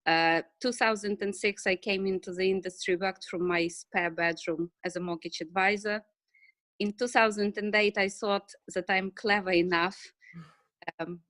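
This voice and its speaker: A middle-aged woman with an Eastern European accent, answering interview questions casually in short, halting phrases. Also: lowish voice, steady tone, a bit bright, no echo. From Ukraine and Russia. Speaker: interview guest, financial services business owner.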